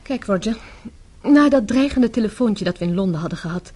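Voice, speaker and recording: Young wife, radio drama, soft dark tone, self-possessed, articulate, explanatory. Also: female voice, mid-range voice, lively intonation, brisk, breathy edge. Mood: confiding, assured. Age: young adult. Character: self-possessed, tough-minded young wife explaining her undercover scheme.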